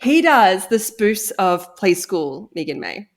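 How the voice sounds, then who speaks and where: A woman's voice: mid-range voice, sing-song, slow pace. A woman with an Australian accent, talking informally and jokily as a guest in a casual interview. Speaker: guest, audio drama co-creator.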